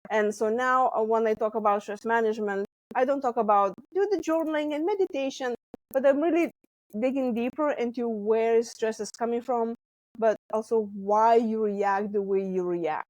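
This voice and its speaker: A woman's voice: on the high side, normal speed, dry recording, rough recording. Lithuanian-accented woman, dry close sound. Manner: animated storytelling with laughs and acted quotes. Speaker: guest, stress management coach. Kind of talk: podcast interview.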